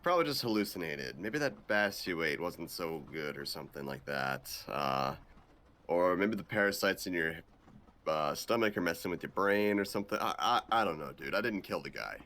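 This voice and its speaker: A man voicing an awkward, deadpan macho young action hero with a halting, casual delivery in a visual novel dub. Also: low voice, heavily acted. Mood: dismissive. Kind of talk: improvised visual novel dubbing.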